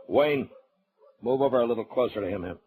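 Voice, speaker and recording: Western radio drama: stern US marshal, played by a male actor, mid-range voice, terse, steady, used to being obeyed. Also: medium pitch, fairly quick, phone-quality sound, a little hiss or hum, lightly acted. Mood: stern, firm. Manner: curt, commanding, steady. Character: stern, unflinching frontier US marshal, grown man. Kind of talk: old-time western radio drama acting.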